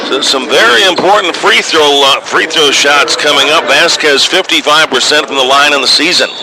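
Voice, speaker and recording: A man's voice: a little high, moderate pace. A man calling live basketball play-by-play for radio, in clipped running descriptions of the action, score and clock. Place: basketball gym during a game. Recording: noisy live gym broadcast.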